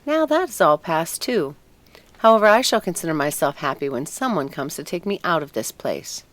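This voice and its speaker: A woman's voice: low voice, sing-song, moderate pace. A woman voicing an anxious, wistful young fir tree in a storybook, earnest and musing. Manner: musing, earnest, self-absorbed. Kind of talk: storybook character voice.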